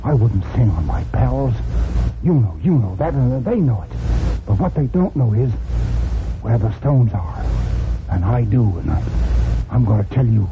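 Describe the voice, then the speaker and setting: A man's voice: mid-range voice, very animated, close to an everyday voice. Man playing a desperate convict, noisy old radio. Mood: desperate resolve. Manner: halting, stammering, urgent pleading. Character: desperate condemned convict pleading for his young daughter. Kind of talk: 1940s radio acting. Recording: noisy muffled 1940s radio broadcast.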